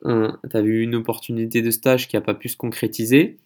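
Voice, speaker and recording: A man's voice: mid-range voice, level tone, fairly quick, darkish tone. A man hosting a casual conversational interview, speaking in a rambling, hesitant way. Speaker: podcast host interviewing a guest.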